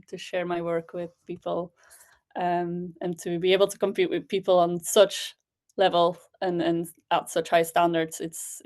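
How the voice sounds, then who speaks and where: A woman's voice: on the low side, darkish tone. Belgian-born woman poet, chatting. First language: Dutch. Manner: hesitant, with frequent ums and laughs. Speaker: spoken word poet guest. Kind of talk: casual interview chat.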